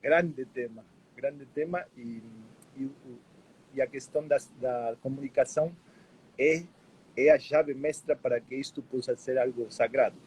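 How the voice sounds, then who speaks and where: A man from Argentina who speaks Portuguese with an Argentine Spanish accent. As the guest on a casual live stream, he talks haltingly and often repeats words while he looks for the next one. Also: mid-range voice, a little mellow, slight background noise, rough recording.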